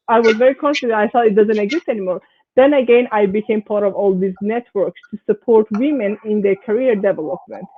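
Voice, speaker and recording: Woman from Iran on tinny call audio. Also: fairly high pitch. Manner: hesitant, many fillers and restarts. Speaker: panelist. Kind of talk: panel discussion.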